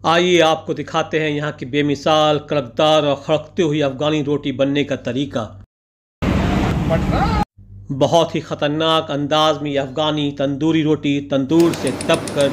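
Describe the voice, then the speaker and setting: A man's voice: on the high side, little pitch movement, unhurried, background noise. A man narrating his weekend outing in a voice-over, with flowery phrasing, recited couplets and words of praise. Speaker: vlogger narrating a food outing.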